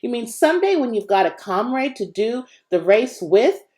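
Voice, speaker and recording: A woman's voice: on the high side, very animated, moderate pace, a little mellow, voice pushed up. Woman reading as a sardonic, bossy young girl. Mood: challenging, teasing.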